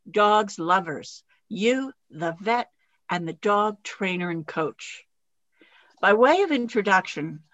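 A woman hosting a radio interview show, delivering formal, deliberate introductions and questions. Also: mid-range voice, a bit bright, no echo. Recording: dull, dry studio sound.

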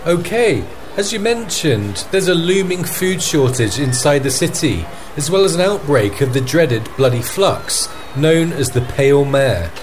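A man's voice: a little high, sing-song, a little slow, hardly any pauses. A man acting as a field correspondent, giving a scripted news report in an earnest, formal manner. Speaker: podcast host as field correspondent. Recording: faint background noise and ambience.

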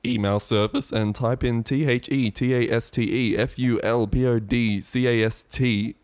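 A man's voice: mid-range voice, steady tone, moderate pace, a little mellow, clean tone. A man, co-host and editor of a podcast, chatting casually with dry jokes. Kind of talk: casual chat.

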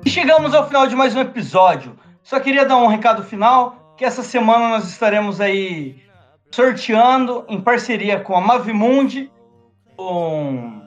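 Slangy high-voiced podcast guy. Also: lo-fi sound. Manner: slangy, loose, laughs often. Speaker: podcast co-host. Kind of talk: casual film review chat.

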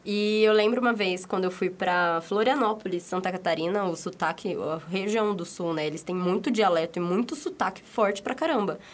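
A woman's voice: mid-range voice, fairly quick, hardly any pauses. Brazilian woman with a São Paulo lilt. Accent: Paulista Brazilian Portuguese mixed with Minas Gerais. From São Paulo state, Brazil. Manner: animated storyteller who laughs often. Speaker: conversation participant. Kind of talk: casual chat among friends.

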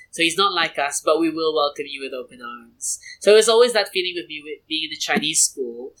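A young man from Brunei chatting as an interview guest, animated and full of asides and restarts. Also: on the high side, poor audio. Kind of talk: casual conversational interview.

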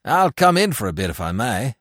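A man's voice: mid-range voice, big pitch swings, a little fast, a bit bright, no room sound, voice pushed down. A giggling, carefree young toff full of breezy slang, as a man reads him aloud. The voice swoops widely up and down, bouncy and chatty, in a dry, close sound with no room around it. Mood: chirpy.

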